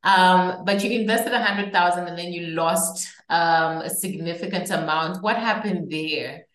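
A woman hosts an interview, her somewhat low voice asking thoughtful questions at a measured pace with the odd "um". The recording carries a roomy echo. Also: fairly bright tone. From Zambia. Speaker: interviewer, host.

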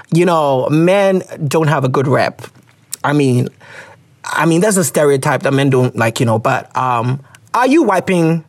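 Ghanaian-accented woman podcast host, husky, lively pitch, casual and profane. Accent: Ghanaian English. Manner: laughs a lot, swears, animated reactions. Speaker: podcast host reading listener letters. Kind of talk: casual chat.

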